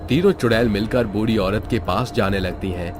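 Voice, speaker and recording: A man with a somewhat low voice narrates a spooky cartoon tale in a steady, even storytelling manner. Music runs underneath his reading. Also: moderate pace.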